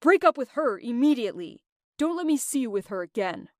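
A woman's voice: fairly high pitch, very animated, fairly quick, no room sound. Harsh, commanding father, a woman doing the voice, dry close read. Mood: scornful. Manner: cold, scolding, commanding. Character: stern disapproving middle-aged father, scornful and controlling.

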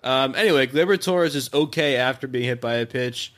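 A man's voice: mid-range voice, a little fast, a bit bright. A man chatting casually as the co-host of a sports podcast. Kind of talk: casual chat.

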